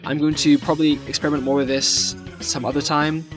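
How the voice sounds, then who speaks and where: A young man giving casual, hesitant, rambling commentary as he tries out software on screen, often muttering reactions to himself. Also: on the high side, flat delivery, brisk, music in the background. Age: young adult. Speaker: video creator. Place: small room indoors.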